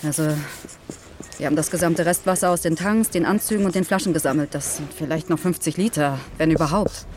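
A woman's voice: low voice, brisk, a little mellow, close to an everyday voice. An actress playing a blunt, pragmatic woman astronaut in an audio drama, speaking firmly and matter-of-factly. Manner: firm, matter-of-fact. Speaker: crew member.

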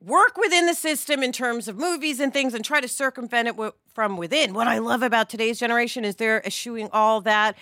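A middle-aged woman co-hosting a podcast in an emphatic, expressive conversational style. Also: a little high, fairly quick, hardly any pauses, no echo, studio quality. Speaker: podcast co-host. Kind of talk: animated conversational discussion.

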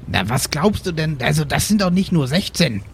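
A man plays a small, anxious hermit with a gravelly, fairly high voice. He is a worried, argumentative adventurer in a tabletop audio game, heard over noisy ambience. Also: big pitch swings, moderate pace. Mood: alarmed.

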